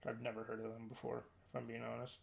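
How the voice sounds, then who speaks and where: Man, creaky gravelly voice, mid pitch, casual podcast host, thinking out loud. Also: completely flat delivery, fast. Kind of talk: casual discussion of films and shows.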